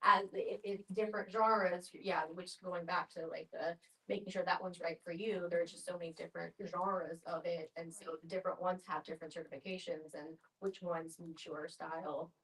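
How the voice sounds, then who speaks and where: A woman's voice: lowish voice, flat delivery, normal speed, a bit bright. A woman in a casual group discussion, talking in loose, tentative phrases as a participant. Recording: distant microphone.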